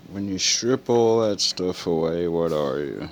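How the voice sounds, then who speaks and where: Slow, very low-voiced man, musing. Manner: musing, philosophical, trailing off. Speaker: commentator reacting to film villain quotes. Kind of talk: casual reflective monologue. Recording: clear background noise.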